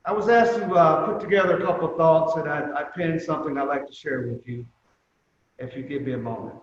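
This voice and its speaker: Guy with a highish voice doing a measured emphatic speech, super echoey big room. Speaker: museum representative giving remarks. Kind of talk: prepared speech at a virtual commemoration. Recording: very echoey reverberant room, muffled, faint noise.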